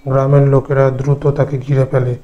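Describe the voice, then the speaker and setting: A man's voice: medium pitch, completely flat delivery, a little fast, dull audio. A man narrating a scripted horror story as the narrator.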